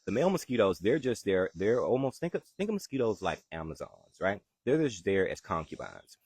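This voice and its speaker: Deep husky Southern man, chatty. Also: sing-song, brisk, darkish tone, no echo. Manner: easygoing, chatty, answers viewer questions. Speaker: gardening show host. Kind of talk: live-stream garden chat. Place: outdoors in his backyard garden.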